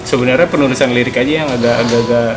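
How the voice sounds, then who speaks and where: A man's voice: medium pitch, steady tone, rapid-fire, noisy background. A man chats casually as an interviewed musician, explaining in slang and repeating his words as he goes. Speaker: band member and songwriter being interviewed. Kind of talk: casual chat. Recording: roomy echo, loud background music.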